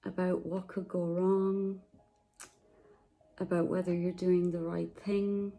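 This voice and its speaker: Slow, soft-spoken woman meditation guide. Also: fairly low pitch, level tone. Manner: slow, soft, soothing, with long pauses.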